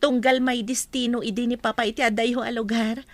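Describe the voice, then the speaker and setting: A woman's voice: fairly high pitch, fairly even intonation, fairly quick, dry recording, lightly acted. A young woman playing a governor's affectionate, proud daughter in an audio drama, sweet and respectful. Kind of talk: Ilocano audio drama acting.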